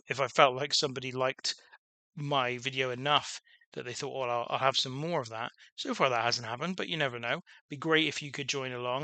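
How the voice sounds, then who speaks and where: A man's voice: mid-range voice, bright, forceful tone, no room sound. A man casually commenting on his own gameplay as a channel host, rambling with many restarts and fillers, and calling his own voice dull. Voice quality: self-described dull voice. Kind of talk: casual let's-play commentary.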